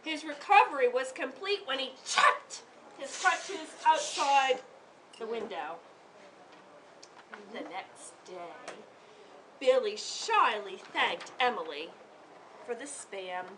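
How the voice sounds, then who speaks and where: A woman reading her story aloud to a live audience in a theatrical, interactive way, with drawn-out emphasis and questions to her listeners. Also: fairly high pitch, lively intonation, sharp tone. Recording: distant mic with audience noise.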